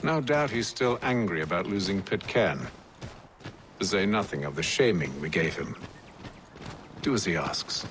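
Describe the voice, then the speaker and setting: An actor playing a composed, commanding leader of a colonial-era secret order in a video game, with a British accent and a measured, formal way of speaking. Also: male voice, low-pitched, expressive, background noise. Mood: composed, authoritative. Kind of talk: scripted video game acting and narration.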